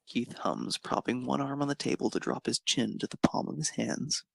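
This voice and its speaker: A man narrates romantic fan fiction with expression. His mid-pitched voice is strongly creaky and husky. The sound is dry, with no room around it. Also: normal speed, dry recording.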